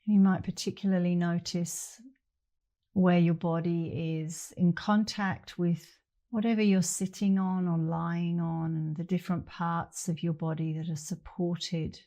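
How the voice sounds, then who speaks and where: Slow, mellow woman guiding meditation. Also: on the low side, little pitch movement. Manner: gentle, unhurried with long pauses. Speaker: meditation instructor. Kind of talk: guided meditation.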